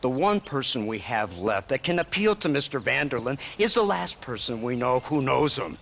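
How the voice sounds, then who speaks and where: Smug American lawman villain, animated sweeping delivery, sharp tone, mocking speeches for a western game. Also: medium pitch, normal speed, vocal fry, a little hiss or hum. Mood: dry menace. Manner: mocking, rhetorical speechifying with chuckles. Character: smug, sardonic male government agent, ruthless and theatrical. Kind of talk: western video game cutscene acting.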